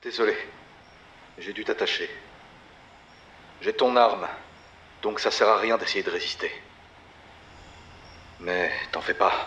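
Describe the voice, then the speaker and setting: A man playing an intense, reasoning character in a dark drama, speaking deliberately and with emphasis. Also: sits low, lo-fi sound, a little hiss or hum. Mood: calm menace.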